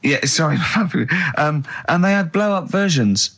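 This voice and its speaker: Jokey radio man, slightly high voice. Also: animated, measured pace, fairly bright tone. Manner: interjecting, joking, repeats himself. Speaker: radio show host. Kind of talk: casual comic chat. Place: radio studio.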